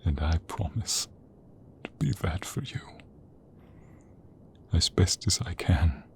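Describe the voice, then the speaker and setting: A man playing a tender, devoted king in a romantic ASMR audio roleplay, speaking intimately with a soft, breathy voice. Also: deep voice, animated, exaggerated voice. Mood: moved, loving. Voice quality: breathy, soft, often whispered. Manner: slow, intimate, emotive delivery. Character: tender, devoted young king in love with his queen.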